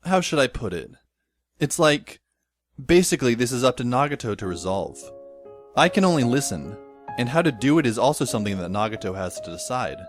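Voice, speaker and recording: A man's voice: medium pitch, big pitch swings, a little fast, dry recording. A man playing a sardonic, exasperated teenage schoolboy who narrates and acts his own story in an amateur audio drama, wordy and dry. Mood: evasive. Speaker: narrator and lead character. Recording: faint music behind the voice. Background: faint music.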